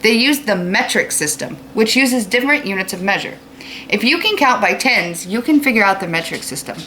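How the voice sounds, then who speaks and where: A woman's voice: medium pitch, expressive, fairly quick, sharp tone. American woman teacher, noisy recording. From United States. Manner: explanatory, asks questions of young listeners. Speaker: fifth-grade teacher. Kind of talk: children's read-aloud lesson with informal asides.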